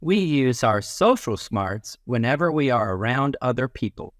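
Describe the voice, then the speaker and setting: A man with a mid-pitched voice reads a children's book aloud like a classroom teacher. His delivery is very lively in pitch and instructive, stressing key phrases. The sound is dry and close, with little room to it. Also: moderate pace, dry recording. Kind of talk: expressive children's read-aloud.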